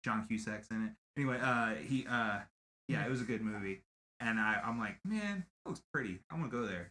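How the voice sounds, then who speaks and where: A man's voice: on the low side, lively intonation, fairly quick, pushed and bright. A man co-hosting a casual podcast chat, talking loosely and trailing off mid-thought. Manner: loose, trailing off, lots of fillers. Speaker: podcast co-host. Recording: clear background noise.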